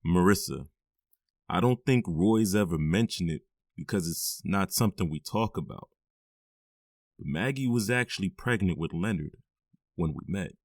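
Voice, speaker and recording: A man acting out a deep-voiced middle-aged father in an audio drama, firm and weary, given to heavy sighs. His low voice sits close and dry with no room around it. He scolds and comforts in the same steady way. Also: moderate pace, no room sound. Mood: confiding. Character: stern but loving middle-aged stepfather.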